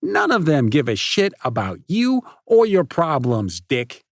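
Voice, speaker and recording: A jeering teenage bully with a cold, hard edge, as a man puts him on, pitched well above his own low voice and pushed loud. The sound is dry and close. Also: huge pitch swings, measured pace, slightly rough, no echo, heavily acted. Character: cruel taunting teenage boy, jeering bully.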